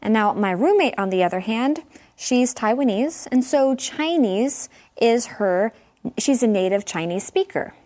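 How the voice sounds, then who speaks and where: Woman co-host, English lesson, slow measured delivery. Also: a little high, lively intonation, darkish tone. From native English speaker. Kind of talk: scripted teaching chat.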